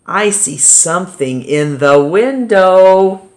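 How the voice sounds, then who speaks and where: A woman reading a children's picture book aloud as storytime reader, with dramatic pauses and emphasis. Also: fairly low pitch, animated.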